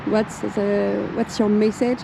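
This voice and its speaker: A woman hosting an interview as a non-native English speaker, with halting, non-idiomatic phrasing. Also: medium pitch, little pitch movement, vocal fry, noisy background. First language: not English. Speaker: host interviewer. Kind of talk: interview with prepared introduction. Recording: music behind the voice.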